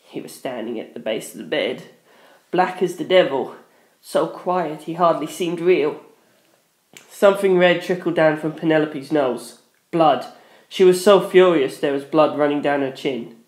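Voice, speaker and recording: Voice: medium pitch, unhurried. A narrator reading a dramatic first-person story. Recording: echoey room.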